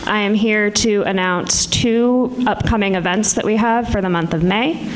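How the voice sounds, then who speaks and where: A woman's voice: medium pitch, moderate pace, poor audio. A woman from Vermont announcing upcoming events for a community center at a town meeting. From Vermont, USA. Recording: local-access meeting recording with faint noise.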